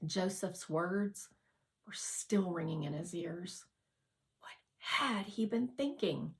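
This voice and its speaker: A woman narrating a children's novel aloud as a scripted, expressive read-aloud. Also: fairly low pitch, takes it slowly. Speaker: audiobook reader.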